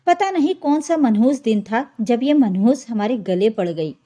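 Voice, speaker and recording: Woman narrator as older mother-in-law, scolding, scornful, high pitch, audio story drama. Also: animated, unhurried, dark tone. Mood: angry. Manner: scornful, accusing.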